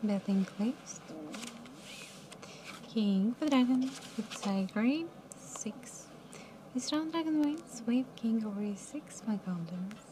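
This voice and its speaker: A young woman working as a live casino card dealer, calling each round in a soft, mumbly, calm and polite way from a studio table. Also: on the high side, slow pace, background noise. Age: young adult. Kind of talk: announcing card game rounds.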